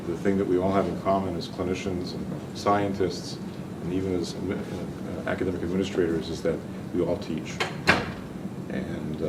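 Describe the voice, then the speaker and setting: Older man, very low voice, hesitant lecture style, noisy background hum. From Hungary. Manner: hesitant, many ums and restarts. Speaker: physician-scientist speaker. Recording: distant mic with audible room noise.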